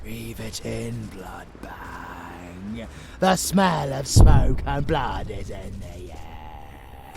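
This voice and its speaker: A man playing a sadistic, gloating vampire monster in an audio roleplay, with a gravelly, menacing, foul-mouthed delivery that savors every word. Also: sits low, sing-song, extremely slow, loud music behind the voice, full character voice. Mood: bloodthirsty, relishing. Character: sadistic, gloating male vampire monster who relishes slaughter.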